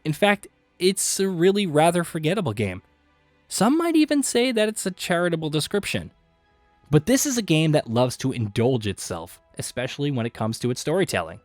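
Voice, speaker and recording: A man reading scripted video game list narration with an emphatic, punchy delivery and dramatic pauses. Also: fairly high pitch, big pitch swings, a little mellow, no echo. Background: background music.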